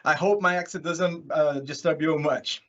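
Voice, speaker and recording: A man's voice: fairly high pitch, steady tone, normal speed, a bit bright. A man from Brazil with a Brazilian accent, speaking English as a second language, gives an informal, chatty online conference presentation as a consultant. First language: Portuguese. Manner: chatty, often chuckling. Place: remote online session from California. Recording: dull lo-fi online call audio.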